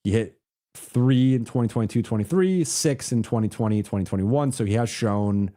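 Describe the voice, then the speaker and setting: A man hosting a live basketball reaction stream, congested and losing his voice, talking casually with many halting restarts. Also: mid-range voice, mellow. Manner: halting, with frequent restarts and "like" fillers. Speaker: basketball reporter hosting a livestream. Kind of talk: casual live reaction, reading super chats.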